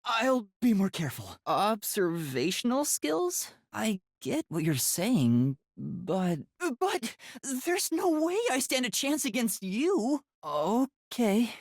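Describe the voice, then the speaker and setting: Timid, high-pitched teenage boy detective. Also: sing-song, slow pace, hardly any pauses, no echo, clean studio sound, full character voice. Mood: flustered. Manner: hesitant, polite, stammering. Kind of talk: English video game voice acting.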